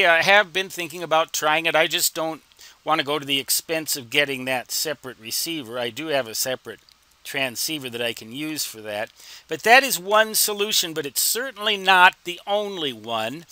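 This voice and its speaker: A man teaches informally in a very sharp, bright voice that sits a little high. His phrasing is deliberate, with a wry, folksy humor. The sound is dry, as from a close microphone. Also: clean tone, no echo, rough recording. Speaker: instructor answering reader questions. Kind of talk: informal explanatory tutorial.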